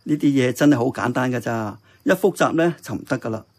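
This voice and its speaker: An actor playing a kindly, contented older uncle in a Hong Kong Cantonese radio drama, chatty and reassuring. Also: male voice, medium pitch, fairly quick, a little mellow, lightly acted. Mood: fond, reassuring.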